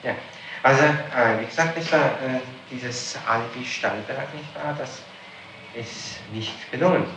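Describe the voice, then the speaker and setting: A man with a mid-pitched voice questions someone formally as a prosecutor. He is halting but insistent, and the old tape puts clear noise around him in an echoey room. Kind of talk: formal interrogation. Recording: noisy, echoey archival interrogation tape.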